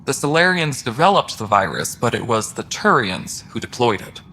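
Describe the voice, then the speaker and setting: Thoughtful alien engineer, faint noise behind. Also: male voice, medium pitch, very animated, normal speed, bright, forceful tone. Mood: solemn, reflective. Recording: faint station background noise.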